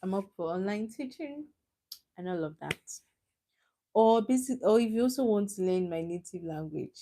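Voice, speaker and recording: A woman's voice: medium pitch, animated, normal speed, dark tone. Young Nigerian woman, live stream host; casual, chatty, laughs easily. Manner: chatty, teasing, laughs often, answers chat comments. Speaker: livestream host.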